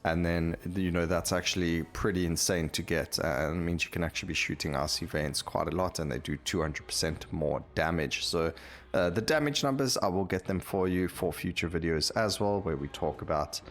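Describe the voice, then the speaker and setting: A man's voice: low-pitched, moderate pace. A man hosting a gameplay video, talking casually and rambling as he thinks aloud while he plays. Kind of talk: casual game commentary.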